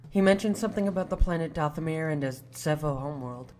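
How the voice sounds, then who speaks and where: A woman's voice: deep voice, fast, a little mellow, lightly acted. A woman voicing a guarded, earnest young Jedi in a video game cutscene, subdued in manner.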